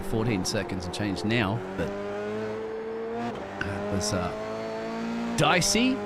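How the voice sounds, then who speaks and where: A man's voice: lowish voice, heavy background noise. A man leading live sim-racing commentary in a chatty, jokey way. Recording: loud music bed behind voice.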